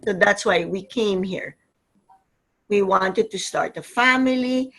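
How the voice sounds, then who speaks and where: A woman's voice: medium pitch, slow, a bit bright. A woman in a staged play reading, playing an anxious, emotional immigrant wife and mother, pleading with her family. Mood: earnest, pleading.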